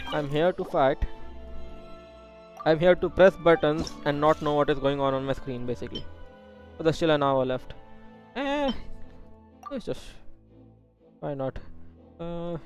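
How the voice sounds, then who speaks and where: Male game streamer with a slightly high voice, chatty, mutters asides while playing, faint music behind. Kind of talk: casual gaming commentary.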